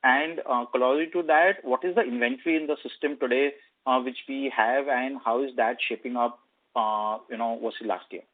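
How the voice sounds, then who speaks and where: A man's voice: medium pitch, steady tone, brisk. A man with an Indian accent politely putting questions as an analyst on an earnings call. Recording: narrow telephone conference line.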